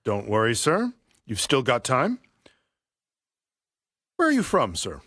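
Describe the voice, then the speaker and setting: An actor playing a polite, deferential, chatty barber in an audio play. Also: male voice, mid-range voice, huge pitch swings, takes it slowly, fairly bright tone, slightly rough, no echo, studio quality, exaggerated voice. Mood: calm, probing. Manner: polite, deferential, repeatedly saying sir. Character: deferential, chatty barber quietly stalking his customer. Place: professional recording studio.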